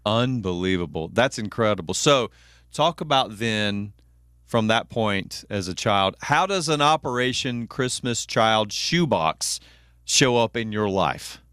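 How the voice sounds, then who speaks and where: A man's voice: on the low side, expressive, measured pace, fairly bright tone, clear, resonant tone, dry recording, studio quality. A man hosting a radio interview, with brief affirming interjections. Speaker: radio host.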